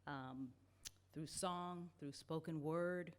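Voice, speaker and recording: A woman's voice: sits low, steady tone, takes it slowly, clean tone. A woman giving an earnest, grateful, formal address to a church congregation as its treasurer. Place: church service before a congregation.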